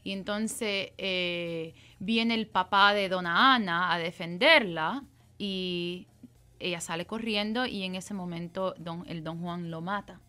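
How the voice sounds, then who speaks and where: A woman's voice: lowish voice, slow pace, pushed and bright, clear, resonant tone. A woman in her thirties from Cuba with a Cuban Spanish accent, chatting as a guest in a radio interview and laughing easily. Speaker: opera singer guest. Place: radio studio.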